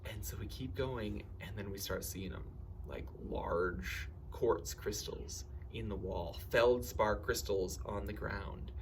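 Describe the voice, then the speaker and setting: Slow-paced man telling stories theatrically. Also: fairly low pitch, vocal fry, a little hiss or hum. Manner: theatrical, acts out dialogue excitedly. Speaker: camp storyteller and science educator. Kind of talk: animated personal storytelling.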